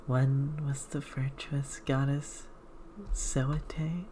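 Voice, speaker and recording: A woman reads a game aloud in a soft ASMR hush that often drops to a whisper. Her voice is low and dark, and she takes her time with a very slow, measured delivery. There is faint typing behind her. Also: little pitch movement, a little hiss or hum. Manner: hushed, slow, measured reading with whispered passages.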